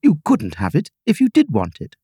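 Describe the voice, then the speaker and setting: A woman plays a contrary, vague queen from a storybook. The voice is husky and mellow, swinging widely in pitch, with a lofty matter-of-fact way of laying down rules. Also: huge pitch swings, moderate pace, no echo, studio quality, exaggerated voice. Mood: lofty, firm.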